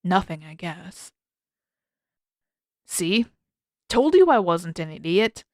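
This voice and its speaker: A woman's voice: sing-song, takes it slowly, darkish tone, no room sound, studio quality. A woman voicing a surly, guarded but earnest teenage prince in a terse audiobook character voice. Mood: grudging. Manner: terse, irritated, low-key.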